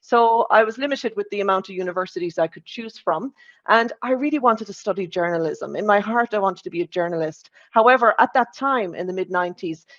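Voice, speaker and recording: A woman's voice: mid-range voice, expressive, a little fast, highs cut off. A woman in her forties with an Irish accent, giving a personal, conversational conference talk over a remote link. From Ireland. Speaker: conference speaker.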